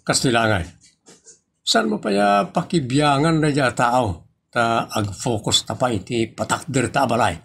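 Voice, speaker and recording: A man with a Filipino accent playing an evasive, flustered husband in an audio comedy drama, with a husky, gravelly voice. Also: medium pitch, a little slow, fairly bright tone. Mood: pleading.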